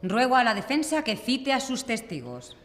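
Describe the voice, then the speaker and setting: A woman's voice: fairly high pitch, sing-song, normal speed, a bit bright. A woman playing a stern, authoritative judge in a live courtroom audio drama, formal and commanding. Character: stern, authoritative female judge presiding over a trial. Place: recorded live before an audience in a library. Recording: reverberant hall, noisy live recording.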